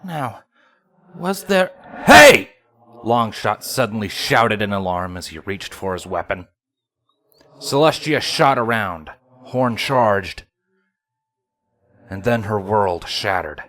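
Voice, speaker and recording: A man's voice: lowish voice, slow pace, long pauses, fairly bright tone, dry recording. A man reading a dramatic scripted story as its narrator. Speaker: fan fiction narrator.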